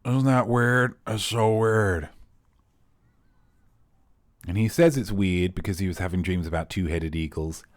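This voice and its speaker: A man's voice: low voice, fairly even intonation, measured pace, long pauses, dry recording. A man hosting a live comedy quiz, rambling and playful with teasing asides to the players. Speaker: quiz host.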